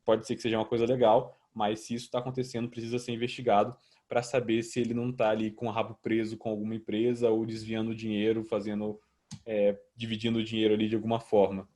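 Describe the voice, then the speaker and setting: A man's voice: lowish voice, completely flat delivery, fast talker, warm tone. A young man with a Brazilian accent presenting a student project in a didactic, conversational but semi-formal way.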